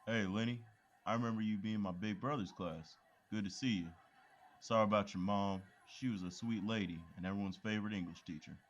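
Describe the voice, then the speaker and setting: A friendly, easygoing young man, played by an actor in an audio drama. His voice is very deep. The recording sounds dull and lo-fi. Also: steady tone, a little fast, lightly acted.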